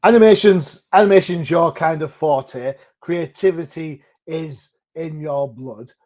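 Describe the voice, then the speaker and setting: A man's voice: on the high side, a little slow, a little mellow, muffled recording. A man hosting a podcast interview, asking questions in a laid-back way with chuckling interjections. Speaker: podcast host. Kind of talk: casual interview chat.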